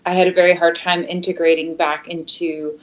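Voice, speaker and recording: Woman, spanish was her first language, lowish voice, thoughtful answers w lots of ums, kinda muffled recording. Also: level tone. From Michigan, USA. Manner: thoughtful, measured, many fillers. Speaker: guest, opera singer. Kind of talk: interview answers. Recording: dull lo-fi sound.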